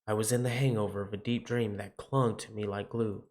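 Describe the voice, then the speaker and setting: A man's voice: on the low side, steady tone, fairly quick, a little mellow. A man reading visual novel narration aloud dramatically as he plays. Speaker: game player reading aloud.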